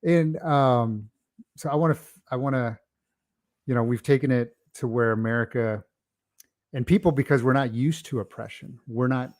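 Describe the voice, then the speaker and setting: A man's voice: medium pitch, normal speed, a little mellow. Chatty male podcast host, midrange voice. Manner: affirming, lots of "yeah" and "okay", rambling questions. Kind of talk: casual interview talk.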